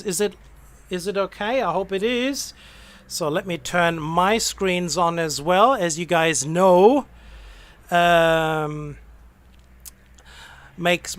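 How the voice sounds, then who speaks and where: A man's voice: high-pitched, fairly bright tone. A man hosting a live game stream with casual, enthusiastic commentary, often repeating phrases for emphasis.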